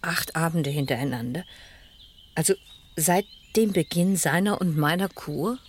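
An actress playing a shaken, lonely, genteel older widow in a radio crime drama, husky and breathy, hesitant and grave. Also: female voice, sits low, a little slow, slight background noise. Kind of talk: German radio crime drama acting and narration.

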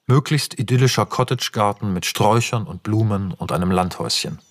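A man's voice: on the low side, dry recording. A man narrating a radio drama, reading stage directions in a terse, matter-of-fact way.

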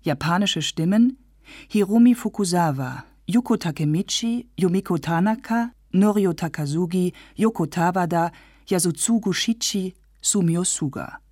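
Low, dry-sounding female radio announcer. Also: moderate pace, a little mellow, no room sound. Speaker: announcer reading radio drama credits. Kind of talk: scripted credits announcement.

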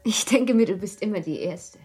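Anxious young mom in a radio play, super breathy, tender but brittle n guilty. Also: female voice, medium pitch, lively intonation, normal speed, darkish tone, faint music behind. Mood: strained cheer. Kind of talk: German radio play acting.

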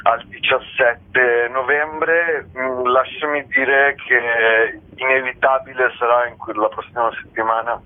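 A man giving a radio interview as a theatre guest, speaking reflectively and haltingly, often repeating his words. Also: mid-range voice, steady tone. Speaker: guest theatre actor and author. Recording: tinny phone line on radio.

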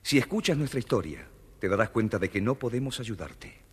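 An actor playing a proud, bold caliph turned into a stork in a children's audio storybook, theatrical and commanding. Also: male voice, fairly low pitch, animated, a little fast, slightly rough. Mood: solemn, courteous.

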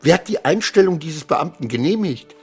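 An actor playing an anxious, self-pitying clerk who mutters agitatedly to himself in a radio play, swinging between dread and defiance. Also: male voice, a little high, lively intonation, normal speed, darkish tone, breathy edge, faint music behind. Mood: aghast, indignant. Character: anxious, self-pitying male clerk, brooding over his double.

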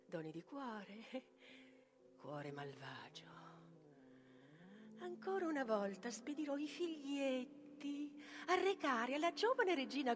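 A vengeful ancient tragic sorceress, declaims in a high, sweeping voice. She speaks slowly and theatrically, shifting between fierce intensity and breathy menace, with music clearly behind her. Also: exaggerated voice. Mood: scheming, bitter. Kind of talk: theatrical dramatic reading of a tragic monologue.

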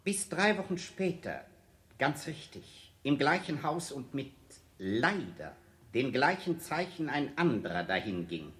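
Casting: smug reassuring orator, slow and declamatory, wide swings of pitch, noisy vintage radio recording. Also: male voice, sing-song, a bit bright. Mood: soothing, declamatory. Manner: measured, declamatory. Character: smug, soothing civic speaker reassuring his citizens. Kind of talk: 1960s German radio play oratory.

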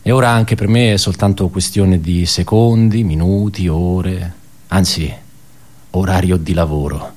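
Low-voiced man, wry bitter office worker. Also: steady tone, a little mellow. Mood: wistful, resigned. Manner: halting, self-correcting, conversational, ironic. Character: wry, bitter male office worker recounting colleagues' suicides. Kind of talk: theatrical radio monologue, reflective storytelling.

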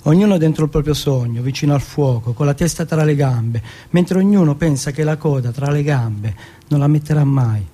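A man with a husky voice, performing a theatrical autobiographical monologue. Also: fairly high pitch, moderate pace, warm tone. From Turin, Italy.

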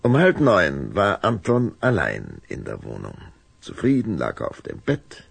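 A man narrating a children's audio story from a script in a dramatic, deliberate storytelling manner. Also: sits low, wildly swooping pitch, creaky, breathy edge, no echo.

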